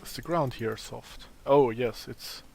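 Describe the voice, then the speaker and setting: A man with a raspy, tired voice giving casual gameplay commentary, thinking aloud as he plays. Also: medium pitch, darkish tone. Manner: slow, thinking aloud while playing. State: voice strained from a long day of talking.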